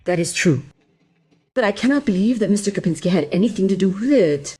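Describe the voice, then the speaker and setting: A woman's voice: medium pitch, expressive, brisk, dark tone, slightly rough. An actress playing a concerned woman, earnest and insistent as she defends someone in a crime radio play. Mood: indignant, pleading. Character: concerned, indignant woman defending an old man.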